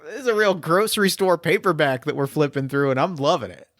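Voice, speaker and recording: A man narrating a tabletop mystery game as its game master, his voice sitting a little high. He rambles through his thoughts with plenty of fillers and restarts. The recording is dry and close, with no room sound. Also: fairly quick, a bit bright, no room sound.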